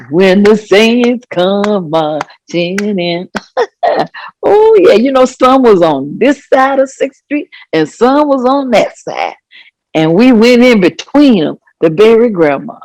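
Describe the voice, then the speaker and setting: A woman playing an elderly grandmother in a staged reading, telling her memories in a folksy, expressive way. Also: mid-range voice, no echo, poor audio. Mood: joyful, exclaiming. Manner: folksy, expressive, unhurried storytelling with exclamations. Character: elderly Southern grandmother reminiscing fondly and animatedly. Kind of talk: staged reading of oral history.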